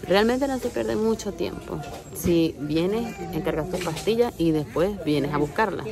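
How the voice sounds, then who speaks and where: A woman's voice: fairly low pitch, expressive, a little slow, creaky. A woman talking casually and playfully to her viewers in a family vlog, speaking from inside a store. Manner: chatty, playful, addresses viewers directly. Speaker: family vlogger. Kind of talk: casual vlog chat to camera. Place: indoor store pharmacy area. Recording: music playing in background.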